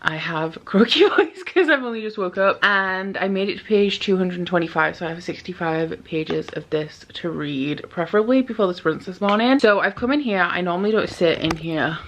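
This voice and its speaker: A woman's voice: on the low side, lively intonation, moderate pace, few pauses, a bit bright, slight background noise. Chatty young woman reviewing books. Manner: chatty, lots of "like" fillers, candid and blunt. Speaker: book vlogger. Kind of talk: casual chatty book review vlog. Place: at home, small room.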